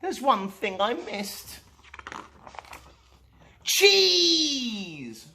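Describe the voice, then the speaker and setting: A man voicing an excitable, bold and cheerful young boy squirrel in a storybook reading. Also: very high-pitched, extremely slow, gravelly, a little hiss or hum, voice pushed up, exaggerated voice. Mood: sheepish, dismayed.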